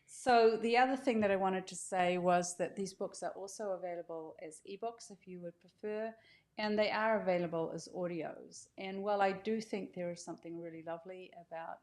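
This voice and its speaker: A woman's voice: on the low side, steady tone, brisk, darkish tone. An older woman speaking as an author and host, casually explaining things in an encouraging, inviting way. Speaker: author hosting a welcome video.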